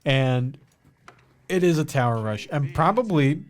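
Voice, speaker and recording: Man, mixed american accent, game co-caster, easygoing, thinks out loud. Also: mid-range voice, unhurried. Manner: relaxed, thinks aloud with fillers. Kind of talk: casual game commentary.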